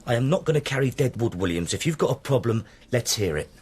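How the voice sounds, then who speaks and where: An actor playing a stern, driven British detective inspector in a radio drama, brusque and commanding. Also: male voice, medium pitch, expressive, quick pace, darkish tone, slightly rough. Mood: angry, rebuking. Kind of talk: naturalistic radio drama acting.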